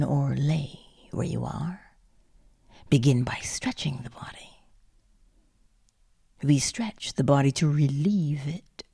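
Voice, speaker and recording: A woman leading a guided sleep meditation in a soothing, hushed and breathy voice, leaving long pauses. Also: low voice, no echo, studio quality. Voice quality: breathy, husky.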